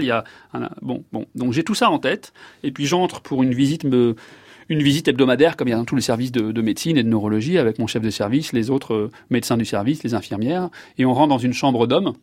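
A man's voice: medium pitch, fast, dry recording. Hesitant French man, dry studio sound. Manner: hesitant, many fillers and restarts. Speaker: guest, neurologist and author. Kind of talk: reflective interview answers.

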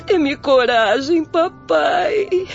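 A high-voiced, weeping young daughter. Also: female voice, animated, very slow, gravelly, heavily acted. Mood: tearful, pleading. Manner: tearful, imploring. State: crying. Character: grief-stricken, frightened young daughter begging her father. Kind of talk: radio drama acting. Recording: dull lo-fi audio under music.

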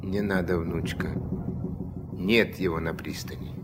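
Deep-voiced gruff old riverboat captain. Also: male voice, steady tone, unhurried, background noise. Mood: gently reassuring. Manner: curt, commanding. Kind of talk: Soviet radio play acting.

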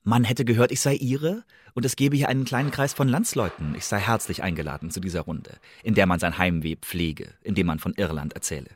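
An actor playing a guarded secret agent posing as a student, giving measured, formal first-person reports in a radio drama. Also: male voice, on the low side, slight background noise, lightly acted. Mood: wary, precise. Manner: measured, formal, precise, deliberate phrasing.

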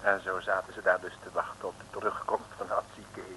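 A man narrates an old radio comedy in a low voice. The scripted storytelling comes over a clearly noisy vintage recording. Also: little pitch movement, fairly quick, pushed and bright.

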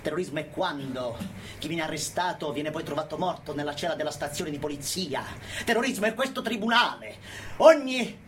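A man's voice: sits high, a bit bright, a little hiss or hum. A man playing a defiant, unrepentant anarchist militant in a declamatory, emphatic theatrical monologue to his judges. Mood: accusing, heated.

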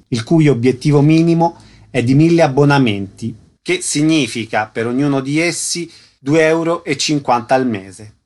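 A man speaks as a radio show host. He reads a prepared announcement in a medium-pitched voice. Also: darkish tone.

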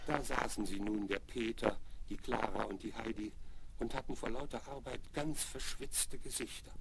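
A man's voice: mid-range voice, steady tone, moderate pace, gravelly, lightly acted. A man narrating a children's radio play as its storyteller, on a noisy 1970s vinyl recording. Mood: storytelling. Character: male storyteller of a children's tale.

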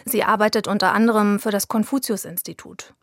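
Calm woman narrating a documentary. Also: mid-range voice, brisk, no room sound, clean studio sound. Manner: calm and even, storytelling. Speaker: reporter and narrator. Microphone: studio mic.

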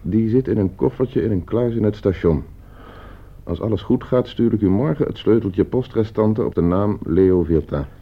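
Cold polite schemer, husky low voice, precise phrasing, radio play, slightly dull recording. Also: male voice. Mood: businesslike, controlled. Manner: measured, precise, instructing, polite. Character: cool, scheming nephew hiring a killer for inheritance. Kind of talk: radio drama acting.

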